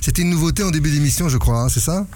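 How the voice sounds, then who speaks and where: A man's voice: a little high, rapid-fire, warm tone, slight background noise. A man presenting casually on radio, often agreeing with short repeated confirmations. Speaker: radio host.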